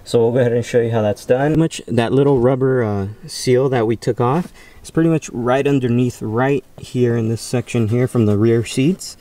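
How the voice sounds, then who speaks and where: A man with a clean, smooth voice at a middle pitch hosts a casual tutorial. He talks informally and walks viewers through each step. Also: normal speed, dark tone. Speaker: video host.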